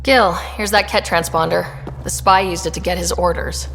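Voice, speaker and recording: A woman's voice: lowish voice, steady tone, normal speed, creaky, a bit bright, long reverb tail, soft background music. A woman playing a supportive, level-headed young starship commander in a video game, casual and reassuring. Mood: businesslike. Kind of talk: video game character acting.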